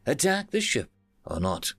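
A man's voice: mid-range voice, huge pitch swings, unhurried, creaky, a bit bright, slightly rough, no room sound, faint music behind, voice pushed up, heavily acted. Man playing a commanding, wary alien ship captain. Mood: stern questioning.

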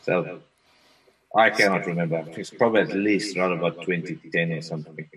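A man whose first language is Afrikaans, a software consultant talking as a guest on a remote interview in a relaxed, rambling way. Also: deep voice, a little fast, far from the mic, rough recording. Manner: relaxed, rambling with frequent restarts. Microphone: video call.

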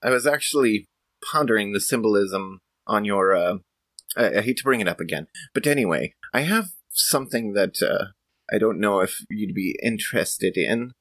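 A man's voice: lowish voice, lively intonation, normal speed, a bit bright, no room sound. A man playing a small, controlled woman scientist in a tabletop game, speaking hesitantly and carefully.

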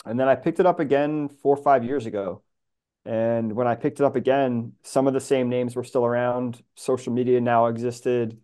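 Mellow-voiced American man, casual. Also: mid-range voice, fairly even intonation, normal speed. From New York, USA. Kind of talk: casual chat.

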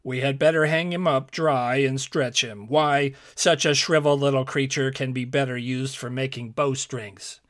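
A man's voice: medium pitch, steady tone, moderate pace, hardly any pauses, lightly acted. A man voicing a hungry, bullying giant in a storybook, quarrelsome and blustering. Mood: menacing. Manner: shouting, blustering, argumentative. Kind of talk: storybook character voice.

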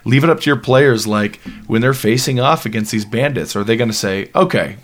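A man's voice: medium pitch, fairly quick. A man in his late twenties hosting a gaming podcast, chatting casually with lots of "you know" and laughter. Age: 20s. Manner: animated, laughs often, many fillers. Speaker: podcast host. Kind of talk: casual chat.

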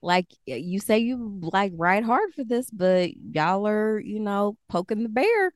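A woman's voice: mid-range voice, sing-song, measured pace, no echo, studio quality. A woman with an American accent, speaking as a podcast co-host in an informal, wry way. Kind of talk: casual conversation and scripture reading.

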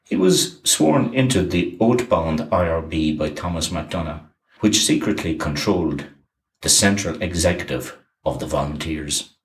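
A man giving a scripted documentary narration in an even, measured reading. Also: low-pitched, roomy sound, low-quality recording.

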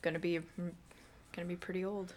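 A woman's voice: on the low side, little pitch movement, fast, a little mellow, a little hiss or hum. A woman in her twenties co-hosting a podcast, teasing and blunt in casual chat. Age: 20s. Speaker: podcast co-host.

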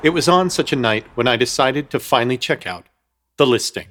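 A man's voice: mid-range voice, expressive, a little fast, fairly bright tone, a little hiss or hum. A man narrating a comic horror radio play in an ornate, mock-grave, wry storytelling manner.

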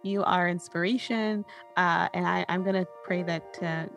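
A woman's voice: fairly low pitch, steady tone, normal speed, vocal fry, fairly bright tone, faint music behind. A woman hosting a podcast, speaking in an encouraging, appreciative way to guest and listeners. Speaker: podcast host. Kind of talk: podcast interview and outro.

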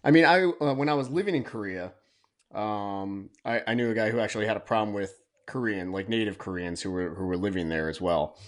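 A man's voice: fairly low pitch, a little fast. A man hosting an interview in casual conversation, often giving supportive short replies. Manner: supportive, frequent affirming interjections. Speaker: podcast host.

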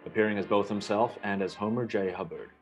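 A man's voice: on the low side, fast, faint music behind, natural voice, poor audio. A man playing a solemn announcer introducing a suspense play in old-time radio style.

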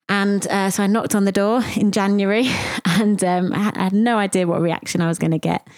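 A woman's voice: lowish voice, a little mellow, no echo, studio quality. Reflective woman. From England. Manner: reflective, with frequent ums and pauses. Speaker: podcast host and cafe founder. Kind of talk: conversational podcast storytelling.

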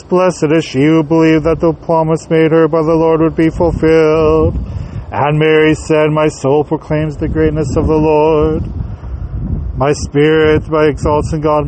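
A man leading a church service as priest, chanting prayers in a slow, drawn-out way and then reciting the gospel more briskly. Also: on the high side, warm tone, a little hiss or hum, low-quality recording. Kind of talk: chanted liturgy and gospel reading.

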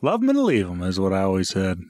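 A podcast co-host, a man with a somewhat low voice in a dry recording. He talks in loose, casual banter with a jokey, self-mocking streak. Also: wildly swooping pitch, quick pace, a little mellow, no room sound.

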